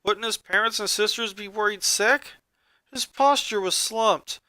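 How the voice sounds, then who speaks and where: Male narrator, high pitch, bright forceful tone, expressive story reading, dry close sound. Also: unhurried, dry recording. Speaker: narrator, fan fiction reader.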